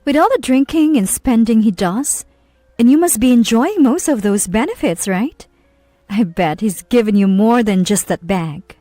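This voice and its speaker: A woman's voice: a little high, expressive, moderate pace, mellow, dry recording, soft background music, lightly acted. Woman playing long-suffering wife, pointed, confronting, cool disdain, audio story, dry sound.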